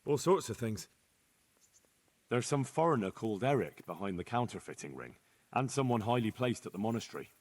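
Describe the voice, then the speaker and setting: A man's voice: mid-range voice, big pitch swings, brisk, a little mellow, big-room sound, close to an everyday voice. A man playing a young medieval man-at-arms in a video game, dutiful, dryly confident and plain-spoken.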